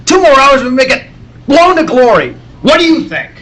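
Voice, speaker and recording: A man playing a gruff, blustering newspaper boss who barks orders and stammers when rattled, in an old-time radio serial style. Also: extremely high pitch, huge pitch swings, a little fast, fairly bright tone, exaggerated voice, poor audio. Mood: rattled, anxious. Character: gruff, excitable newspaper editor, an agitated boss.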